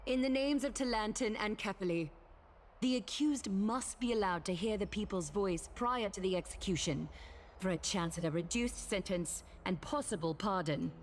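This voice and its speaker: A woman's voice: mid-range voice, moderate pace, a little hiss or hum, close to an everyday voice. A woman playing a solemn, authoritative judge in a game, delivering formal proclamations in a stately, declamatory way. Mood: stern, official. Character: solemn, authoritative female judge presiding over a public trial.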